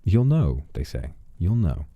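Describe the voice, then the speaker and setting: A man's voice: sits low, big pitch swings, measured pace, dry recording, highs cut off. A man livestreaming as an old demon persona, telling stories in a musing, theatrical way on a close microphone. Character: centuries-old wandering voice demon streamer persona. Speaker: livestream host. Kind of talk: in-character storytelling and casual livestream chat. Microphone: close studio microphone, dry.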